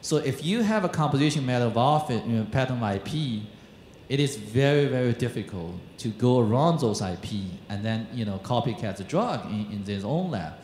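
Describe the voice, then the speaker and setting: A man's voice: medium pitch, animated, a little mellow, slight background noise. Man speaking in a roomy conference hall. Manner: halting, many fillers and restarts. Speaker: panel moderator. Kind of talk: conference panel talk. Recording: echoey hall with faint ambience.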